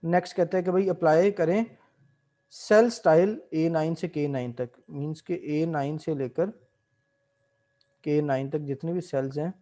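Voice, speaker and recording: A man's voice: on the high side, a little slow. A man, explaining spreadsheet tasks step by step as an instructor, mixing in English terms. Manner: mixes English terms into explanations, steady teaching pace. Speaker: Excel instructor. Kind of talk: screen-recorded software tutorial.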